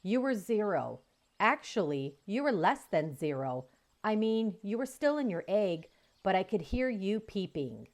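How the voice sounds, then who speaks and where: A woman voicing a calm, gentle female robot who is a mother. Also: mid-range voice, unhurried. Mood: earnest.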